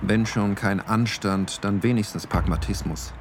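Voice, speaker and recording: A man reciting scripted aphorisms for a radio play with deliberate, separated phrasing. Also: on the low side, steady tone, close to an everyday voice. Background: music.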